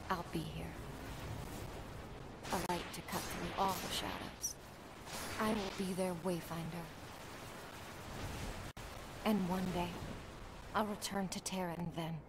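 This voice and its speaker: A woman playing a resolute, selfless young warrior in a video game, speaking in a solemn, earnest, measured way. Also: lowish voice, fairly even intonation, heavy background noise. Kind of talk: video game cutscene acting. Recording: loud music behind the voice.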